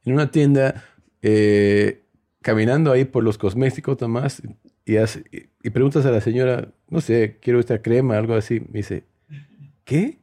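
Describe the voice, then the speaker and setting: Male conversational storyteller, very mellow, English native, mixed Peruvian, Spain and Mexican accent in Spanish, voices both sides of exchanges. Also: mid-range voice, normal speed. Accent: mixed Spanish with Peruvian, Spain and Mexican influences. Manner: acts out dialogue while telling anecdotes. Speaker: host.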